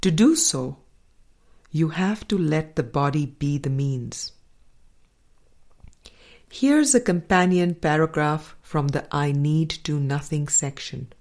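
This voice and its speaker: Slow, low-pitched woman reading a spiritual audiobook. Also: animated, darkish tone. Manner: measured, deliberate phrasing with frequent pauses. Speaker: audiobook reader. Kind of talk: scripted spiritual audiobook narration.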